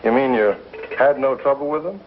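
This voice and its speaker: A man's voice: medium pitch, brisk, lightly acted. Actor as wry frontier townsman, tinny vintage sound. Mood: skeptical, teasing. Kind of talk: 1950s television western acting. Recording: tinny, noisy 1950s television soundtrack.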